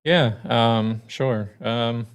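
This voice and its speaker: A middle-aged man from the United States chatting casually as a stream guest, relaxed and hesitant, with frequent ums. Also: mid-range voice, very slow, smooth. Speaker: guest streamer and truck driver. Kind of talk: casual chat.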